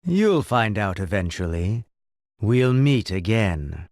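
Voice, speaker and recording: A man's voice: on the low side, big pitch swings, slow pace, very noisy. A man playing a smug, cryptic rival in a video game, drawing out knowing taunts. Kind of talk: video game cutscene acting.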